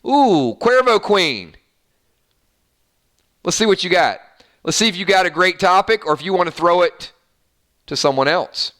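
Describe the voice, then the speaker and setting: Bright, upbeat male live-stream host. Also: high-pitched, lively intonation, a little slow, long pauses. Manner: chatty, upbeat, lots of fillers and restarts. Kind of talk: casual live-stream chat reading viewer comments.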